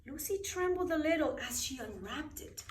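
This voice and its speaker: A woman reading a bedtime story aloud expressively, with dramatic, deliberate phrasing for children. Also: high voice, expressive, a little hiss or hum. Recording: roomy echo, distant microphone.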